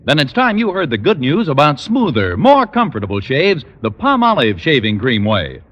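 A man's voice: a little high, wildly swooping pitch, normal speed, dull audio. A man reading radio advertising as an old-time announcer, with an emphatic salesman's delivery. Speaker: commercial announcer. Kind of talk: 1940s-50s radio advertising read.

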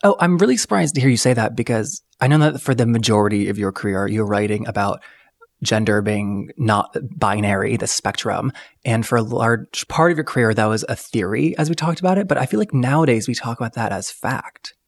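A man's voice: medium pitch, a little fast, creaky, dry recording, clean studio sound. A man interviewing a guest, asking curious, probing questions.

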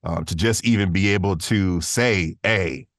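Deep-voiced man chatting casually. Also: unhurried, no echo, studio quality. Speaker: voice actor, podcast guest. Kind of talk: casual conversational interview. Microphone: close studio microphone in a vocal booth.

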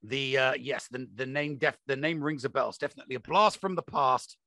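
A man's voice: mid-range voice, brisk, bright, forceful tone, no echo, studio quality. A man hosting a podcast in a casual, chatty style. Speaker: podcast host.

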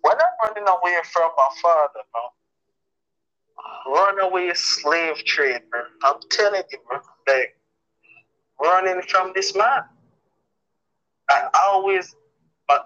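A man telling crude, animated comic stories in a casual chat. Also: sits high, muffled recording. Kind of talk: comic storytelling.

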